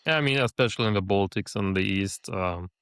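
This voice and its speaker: A man hosting a podcast interview, measured and listening, with frequent fillers. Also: fairly low pitch, fairly bright tone, smooth, no echo, clean studio sound. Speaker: podcast host.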